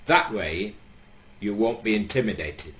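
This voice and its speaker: A man voicing a confident lawyer giving slow, deliberate advice. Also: lowish voice, lively intonation, fairly bright tone, reverberant, a little hiss or hum. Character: confident smooth male lawyer.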